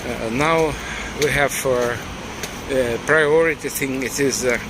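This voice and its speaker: Ukrainian guy slowly guiding a street livestream, lots of uhs, loud traffic all around. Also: mid-range voice. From eastern Ukraine. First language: Russian. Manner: halting, many fillers. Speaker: livestream tour guide. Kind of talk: live walking tour commentary. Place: outdoors on city streets. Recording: loud traffic noise outdoors. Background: loud street and vehicle noise.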